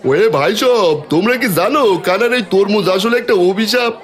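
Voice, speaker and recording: A man's voice: high-pitched, a little fast, soft background music, a faint crowd, lightly acted. A man voicing a sly, greedy village conniver in a cartoon, insinuating and exclamatory. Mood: rabble-rousing. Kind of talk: Bengali cartoon voice acting.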